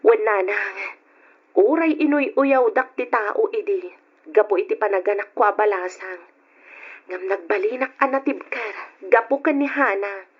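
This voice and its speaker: A woman's voice: mid-range voice, a little slow, slightly rough. A woman playing a devoted, hopeful young single mother with an Ilocano accent, earnest and emotional in an old-time radio drama. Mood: resolute. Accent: Ilocano, from the Philippines. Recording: dull lo-fi old radio recording.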